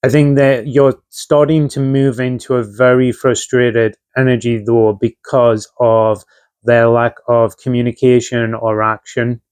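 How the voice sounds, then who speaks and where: A man's voice: mid-range voice, level tone, measured pace, darkish tone, clean tone, no echo. A man giving a casual tarot reading, talking conversationally with frequent fillers while getting over a chest infection. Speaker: tarot reader.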